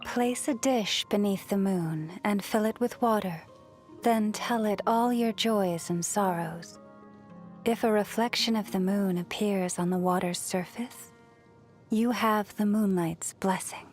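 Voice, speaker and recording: A woman voicing a gentle, serene moon priestess in a video game, speaking softly and soothingly with a reverent manner. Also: medium pitch, faint music behind, close to an everyday voice. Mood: calm, reflective. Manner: soft, soothing, unhurried, reverent. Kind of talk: scripted video game character voice lines.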